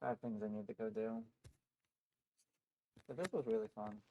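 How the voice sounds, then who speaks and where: A man's voice: lowish voice, normal speed, highs cut off, a little hiss or hum. A man giving casual live commentary as he streams a game, easygoing, with muttered asides.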